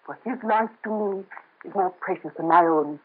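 An actress playing a young noblewoman in hiding, soft, earnest and dignified, in an old radio drama. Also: lowish voice, moderate pace, husky. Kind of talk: 1930s radio drama acting. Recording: muffled lo-fi old radio transfer.

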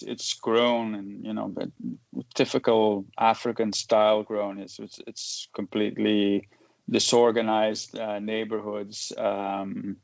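Low, gravelly Belgian-born man, halting speech. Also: no echo. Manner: halting, many restarts and fillers, chuckles while talking. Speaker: guest, photojournalist. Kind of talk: casual interview conversation.

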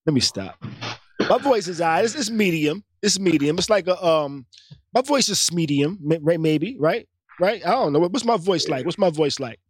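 A man's voice: husky, no echo. Fields: Sex: man. Role: podcast host. Style: casual banter, laughs often. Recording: dry and close. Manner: laughs often, playful, drops into deep put-on voices for jokes. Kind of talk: casual banter with a cohost, reading live chat.

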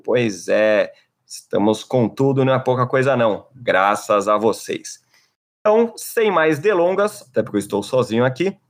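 Brazilian male podcast host, playful and upbeat, medium voice. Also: mid-range voice. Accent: Brazilian Portuguese. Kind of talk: casual podcast chat.

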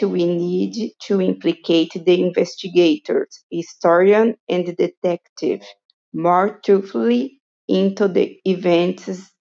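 A woman reading academic prose aloud in a halting way, in a lo-fi voice message. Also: on the low side, vocal fry, a little mellow. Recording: dull lo-fi voice message.

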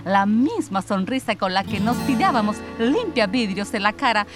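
A woman's voice: mid-range voice, expressive, moderate pace, music in the background, close to an everyday voice. An actress playing an exiled woman come home to her old love, telling her story earnestly and dramatically in a radio play. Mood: tender, nostalgic. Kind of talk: radio theatre acting.

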